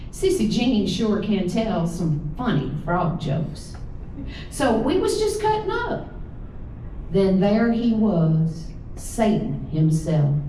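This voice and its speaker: Echoey, mellow Southern woman reading stories aloud. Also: medium pitch. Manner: folksy, drawling storytelling. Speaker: author reading own stories. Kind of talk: live literary reading, first-person child narrator. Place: live reading in an indoor event room. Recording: echoey room from far off, faint noise.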